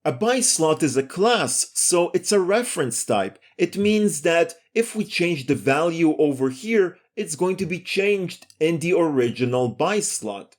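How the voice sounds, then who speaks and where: A man's voice: on the high side, expressive, measured pace, few pauses. A man giving a step-by-step screencast programming lesson in a methodical, explanatory way. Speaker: programming tutorial instructor.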